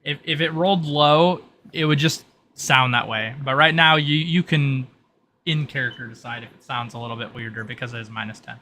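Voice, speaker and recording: A man running a tabletop roleplay game as game master, halting and repeating himself as he explains. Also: medium pitch, bright, forceful tone. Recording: clear background noise.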